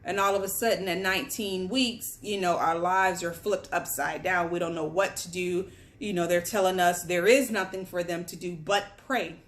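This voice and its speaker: A woman's voice: fairly low pitch, steady tone, moderate pace. A young woman from Guyana, pregnant and on bed rest, telling a candid personal story in a casual chatty way while sitting at a table at home. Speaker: vlogger. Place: home, at a table among boxes.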